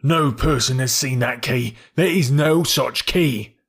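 A man's voice: medium pitch, a little slow, gravelly, fairly bright tone, slightly rough, heavily acted. A man voicing a frightened male ex-servant accused of murder, who stammers and protests. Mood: protesting.

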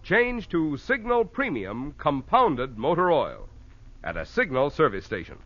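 High-pitched, animated old-time radio announcer man. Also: a little slow. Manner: polished, emphatic. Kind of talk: 1940s radio sponsor announcing. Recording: dull old 1940s radio recording.

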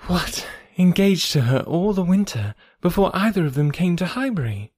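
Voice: mid-range voice, very animated, moderate pace, a little mellow, no echo, studio quality, voice pushed up. A reader voicing an agitated, eager young woman in an audiobook, pleading and exclaiming. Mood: stunned. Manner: pleading, then exclaiming in shock. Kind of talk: audiobook character voice.

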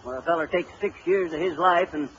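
A man's voice: on the high side, a little fast, fairly bright tone, lightly acted. An actor playing a folksy, blustering husband who mangles his grammar and spins tall tales in an old-time radio comedy. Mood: sheepish, joking. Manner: folksy, bungling, chuckling braggart. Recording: muffled, noisy vintage radio recording.

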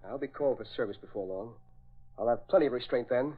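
An actor playing a defiant, argumentative young playboy son in 1940s radio drama style. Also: male voice, medium pitch, fast talker, husky, close to an everyday voice. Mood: earnest, insistent. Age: young adult. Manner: insistent, argumentative. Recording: dull vintage radio recording.

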